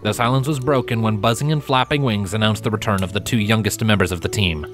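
A man's voice: fairly low pitch, quick pace, fairly bright tone, soft background music. A man reading scripted fiction as an audiobook narrator.

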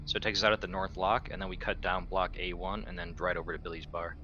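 A man's voice: sits low, fairly even intonation, fast, sharp tone, highs cut off, a little hiss or hum. A man casually talking through plans as a player in a tabletop roleplaying game.

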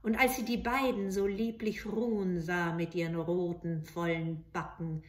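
A middle-aged woman narrating a fairy tale for children with expressive, dramatic and deliberate phrasing. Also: lowish voice. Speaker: storyteller. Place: small indoor room with bookshelves.

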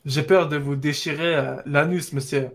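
A man's voice: a little high, fairly even intonation, normal speed, close to an everyday voice. A man playing a poor middle-aged immigrant woodsman in a roleplay game, blunt, haggling and cheeky. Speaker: roleplay player. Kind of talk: in-character roleplay.

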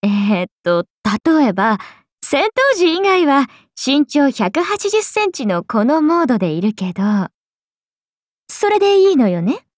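A woman's voice: sits high, sing-song, measured pace, darkish tone, no room sound, clean studio sound. A woman voicing a breezy, friendly grown serpent-goddess in a game, with chatty, teasing, laid-back slang. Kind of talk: game character dialogue.

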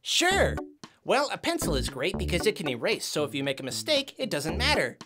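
A woman's voice: fairly low pitch, sing-song, a little fast, a crowd in the background. A woman voicing a small fuzzy puppet friend in a kids' show, patient, sensible and encouraging as she explains things. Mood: helpful. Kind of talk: children's puppet show dialogue.

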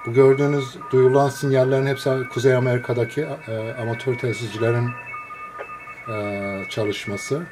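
A man, a radio hobbyist, explains a receiver in a casual, unscripted walkthrough, pausing between short phrases. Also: mid-range voice, level tone, over music. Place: indoors, filming a computer screen.

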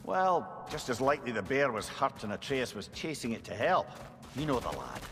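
An actor playing a wry, talkative older advisor and companion in a video game, in an animated manner. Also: male voice, mid-range voice, normal speed. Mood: reassuring. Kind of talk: cinematic video game acting. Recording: clear background noise.

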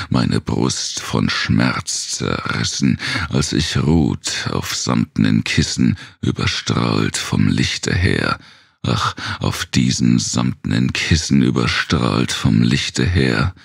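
Male narrator for Gothic verse: brooding, theatrical, heavy gravel in the throat, very close dry sound. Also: extremely low pitch, no room sound. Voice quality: gravelly, husky, rasping. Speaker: narrator reading a horror poem aloud. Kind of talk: dramatic literary recitation, dark Gothic poetry.

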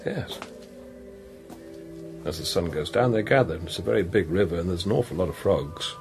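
Troubled gentle priest, lowish voice, broody and intense, radio play w music under it. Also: male voice, lively intonation, creaky. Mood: gentle, musing. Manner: brooding, halting, intense, repeating phrases. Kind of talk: radio drama acting, interior monologue and dialogue.

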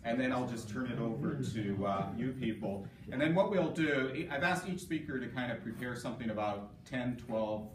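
A man's voice: medium pitch, animated, normal speed, hardly any pauses. A middle-aged man presiding over a scholarly session, giving an informal, hesitant introduction with many self-corrections. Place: conference session room, recorded from a distance. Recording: echoey room, distant mic, loud background noise.

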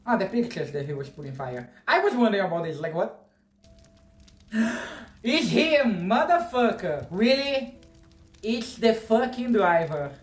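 Excitable Brazilian-accented man, roomy echo. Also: high voice, measured pace, soft background music. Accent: Brazilian Portuguese. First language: Portuguese. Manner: excitable, gushing, swears casually, gestures widely. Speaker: movie reaction host. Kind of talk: casual reaction commentary. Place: small bedroom, indoors. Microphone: wired earphone mic.

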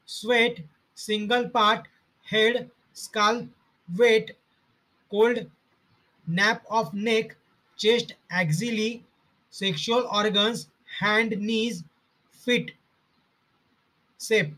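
A man with a high, bright voice reads through medical study notes. He goes slowly and halting, breaking each list into short fragments with pauses between them. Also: steady tone, poor audio. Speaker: homeopathy study narrator. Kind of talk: slow reading of study notes.

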